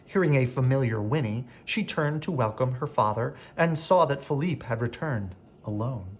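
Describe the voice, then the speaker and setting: A man reading a children's bedtime story aloud as an expressive narrator. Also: mid-range voice, normal speed, husky. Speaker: storybook reader.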